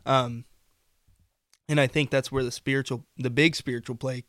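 Hoarse young-sounding man, dry close sound. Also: mid-range voice, steady tone, moderate pace, fairly bright tone, no room sound. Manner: earnest, rambling with fillers. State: losing his voice, coughing. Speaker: podcast co-host. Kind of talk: casual faith discussion.

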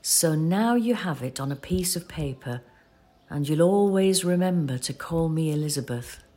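Actress as a lilting, patient elderly wife. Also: female voice, deep voice, expressive, moderate pace. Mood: reassuring. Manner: conversational, gently explaining, reassuring. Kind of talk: audio play acting with reflective narration.

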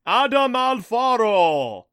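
A man voicing a big, hearty male thunder god with a loud, booming, jovial delivery in a storybook narration. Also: animated, moderate pace, no room sound, clean studio sound. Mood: hearty, proud. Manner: laughs heartily, exclaims grandly.

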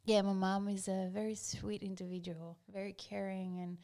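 A woman from Greece, a guest speaking in a warm, reflective, casual way. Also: mid-range voice, level tone, normal speed. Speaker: guest, hat designer. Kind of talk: casual interview chat.

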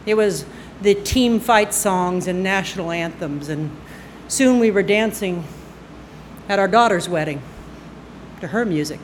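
A woman's voice: mid-range voice, a little hiss or hum. Woman in her sixties telling a story on stage. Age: 60s. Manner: conversational, halting with "uh" fillers, breaks into laughs. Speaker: storyteller at a live storytelling night. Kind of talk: unscripted personal story told on stage. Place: stage at a live event. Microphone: stage microphone.

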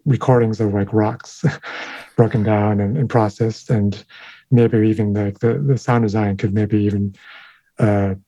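A man, a sound designer whose first language is Chinese, answering interview questions in a thoughtful, halting way with many fillers and restarts. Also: lowish voice, little pitch movement, warm tone, dry recording. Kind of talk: interview conversation.

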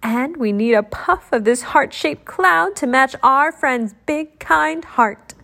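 A woman's voice: high-pitched, slow pace, creaky, pushed and bright, pitched above the performer's own voice, full character voice. A woman voicing a cheerful, earnest young girl genie, one of twin sisters in a children's storybook.

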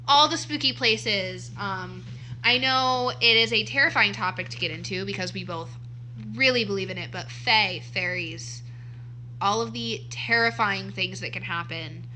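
A bright, sharp-toned young woman in her twenties, talking very close to the mic. She co-hosts a laid-back podcast and rambles loosely, restarting sentences and laughing along. A faint hum runs underneath. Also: fairly high pitch, normal speed, rough recording. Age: 20s. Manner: rambling, self-correcting, laughs often. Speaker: podcast co-host. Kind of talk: casual chat. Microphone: too close to the mic.

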